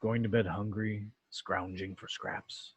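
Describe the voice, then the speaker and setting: A man playing a calm, menacing alien warlord and father in an amateur script read, speaking in a measured, patronizing way. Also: fairly low pitch, slight background noise. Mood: insistent, cold.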